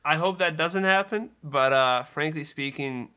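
Male podcast host, forceful bright voice, sardonic delivery, casual conversational movie chat. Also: a little high, a little slow. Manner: wry, sarcastic.

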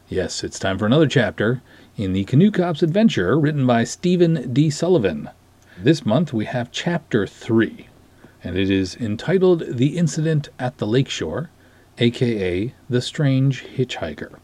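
Animated lower-voiced male podcast host. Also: dry recording. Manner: wry, self-deprecating. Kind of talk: casual solo podcast talk with dramatic review readings.

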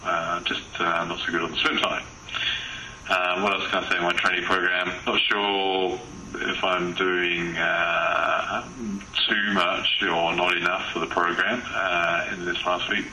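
A man's voice: deep voice, little pitch movement, unhurried, pushed and bright. A man recording a casual training diary, hesitant and full of fillers. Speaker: triathlete recording a training log. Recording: roomy echo with faint noise.

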